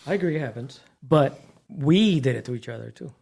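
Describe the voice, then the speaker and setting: A man's voice: medium pitch, expressive, brisk, creaky, darkish tone. A middle-aged man from Puerto Rico with a Puerto Rican accent, co-hosting a casual podcast, emphatic and switching between English and Spanish. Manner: emphatic and heated. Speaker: podcast co-host. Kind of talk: casual chat.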